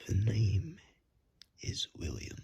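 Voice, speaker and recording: Polite, formal vampire for ASMR roleplay, played by a man, very creaky, swooping delivery, recorded on a phone mic. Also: lowish voice, huge pitch swings, a little mellow, exaggerated voice. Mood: courteous, eerie. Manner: courteous, formal, drawn-out phrasing. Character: polite, formal gentleman vampire named William. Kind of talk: ASMR roleplay, soft whispering. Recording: unplugged iPhone microphone.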